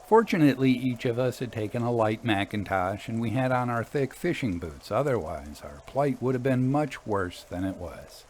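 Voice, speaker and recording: Guy hosting and reading stories out, mid voice, deliberate, expressive, bit of noise. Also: medium pitch. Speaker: host and story reader. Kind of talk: scripted storytelling narration.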